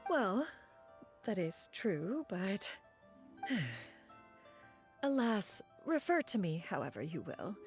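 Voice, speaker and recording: A woman's voice: mid-range voice, sing-song, extremely slow, pauses often, faint music behind. A woman playing a proud, refined, ancient immortal in a video game, with haughty, formal and archaic speech. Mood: reluctant, dignified. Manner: haughty, archaic, formal, calls herself "one". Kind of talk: video game character dialogue.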